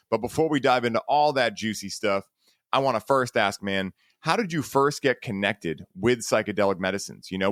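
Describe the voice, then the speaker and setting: A man's voice: lowish voice, fairly bright tone, dry recording, studio quality. A man hosting a podcast in a casual, enthusiastic and chummy way, often addressing his guest as man or dude. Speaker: podcast host. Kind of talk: casual podcast interview introduction.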